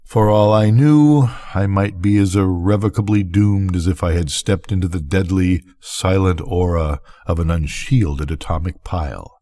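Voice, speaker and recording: Older guy reading a bedtime story, really low mellow voice, takes his time with the phrasing. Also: unhurried, hardly any pauses. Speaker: reader of bedtime stories. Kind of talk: scripted narration, audiobook-style story reading.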